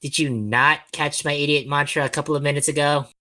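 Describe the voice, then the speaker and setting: A man's voice: a little high, fairly even intonation, fairly quick, sharp tone. A man playing a sulky, self-deprecating, clumsy young male student in an audio drama, whiny and hesitant. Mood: whiny, exasperated.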